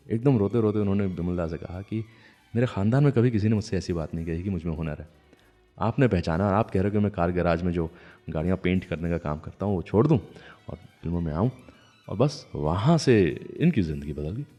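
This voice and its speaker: An Indian man presenting a music radio show, telling stories in a deliberate, dramatic way. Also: low-pitched, a little mellow. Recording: long reverb, music behind.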